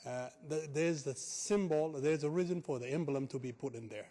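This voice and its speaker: A man from Ethiopia with an Ethiopian accent, speaking at a city council public comment in an earnest, halting way with many restarts. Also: a little high, dark tone. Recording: echoey council chamber.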